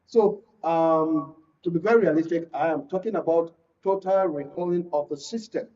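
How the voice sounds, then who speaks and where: A man with a Nigerian accent speaking as a guest from the creative industry in a political panel discussion, deliberate and emphatic, often repeating phrases. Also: on the high side, dark tone. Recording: muffled, distant-mic, lo-fi studio pickup.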